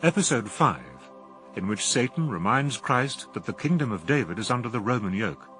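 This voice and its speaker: A man's voice: mid-range voice, expressive, normal speed, a bit bright, low-quality recording. Male radio announcer, British accent, formal scripted delivery, music in the background.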